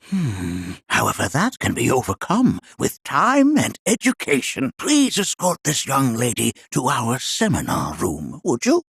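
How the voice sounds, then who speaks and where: Eccentric elderly professor for a video game: courtly and lecturing, creaky aged voice, wildly animated pitch. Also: male voice, no echo, clean studio sound, full character voice. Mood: courteous, lecturing. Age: older. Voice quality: gravelly, aged, husky. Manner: courtly, lecturing, with drawn-out musing. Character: eccentric, jolly old male sage and scientist. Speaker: planetology scholar and teacher. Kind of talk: theatrical video game character acting.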